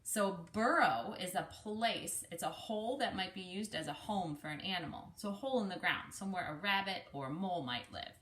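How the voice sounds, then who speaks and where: A woman reading a picture book aloud to children, pausing to explain words in an animated, teacherly way. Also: fairly low pitch, reverberant, a little hiss or hum. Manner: animated, explanatory, addresses young listeners directly. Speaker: speech-language pathologist reading to children.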